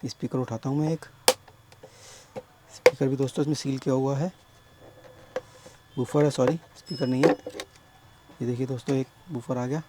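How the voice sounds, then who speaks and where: A man with a sore, hoarse throat explaining a speaker repair step by step at his workbench, often addressing viewers as friends. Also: mid-range voice, very noisy. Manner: explains slowly, keeps calling viewers friends. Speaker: electronics repair technician.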